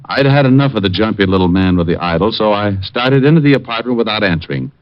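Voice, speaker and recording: An actor playing a cool, wisecracking private detective, dry and hardboiled, in old radio drama style. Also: male voice, on the low side, lively intonation, quick pace, natural voice. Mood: wry narrating. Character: wisecracking, cool male private detective narrating his case. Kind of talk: 1940s-50s radio drama narration and acting. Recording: dull vintage radio recording, faint music.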